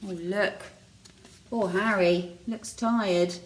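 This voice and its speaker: A woman's voice: medium pitch, a little hiss or hum, poor audio. A woman reading a picture book aloud expressively, with chatty asides to young listeners. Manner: expressive, deliberate.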